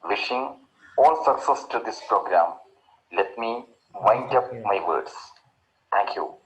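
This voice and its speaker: Slow, formal man giving remarks. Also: lowish voice, lively intonation, low-quality recording. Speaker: guest giving closing remarks. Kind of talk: formal address.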